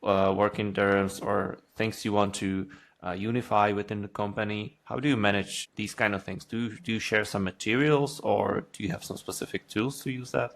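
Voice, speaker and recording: A man's voice: fairly low pitch, steady tone, moderate pace, creaky. A man co-hosting a podcast, asking questions in a casual conversational way. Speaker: podcast co-host.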